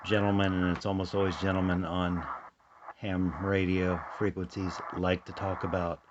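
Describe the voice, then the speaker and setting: An American man giving casual, unhurried commentary as he demonstrates a hobby hands-on. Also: low-pitched, flat delivery. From United States. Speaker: radio hobbyist narrator. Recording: dull sound with radio static. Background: shortwave radio noise.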